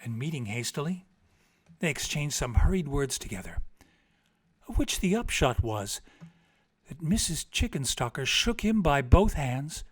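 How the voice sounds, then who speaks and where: A man reading a classic story aloud in a theatrical, expressive and deliberate literary style. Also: a little high. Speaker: storybook reader.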